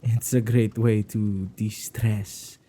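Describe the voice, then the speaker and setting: Man playing a slow, dark-voiced possessive witch. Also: lowish voice, level tone. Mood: playful, coaxing. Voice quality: dark, gravelly, husky voice, often dropping to whispers. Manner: teasing, unhurried, with drawn-out words and hesitant repeats. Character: possessive male witch who keeps ghosts, playfully menacing captor. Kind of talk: ASMR roleplay acting.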